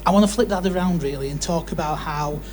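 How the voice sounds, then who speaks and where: A man's voice: fairly high pitch, fast talker, a little mellow. A young man putting an earnest, unscripted question from the audience at a public Q&A. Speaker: audience questioner, political campaigner. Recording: faint hum and room noise.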